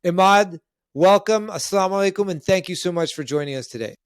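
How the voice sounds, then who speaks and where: A man hosts an interview podcast. His voice sits a little high, recorded dry with hardly any room on it. He speaks in a conversational interviewer's style. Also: fast, smooth, no room sound. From Canada.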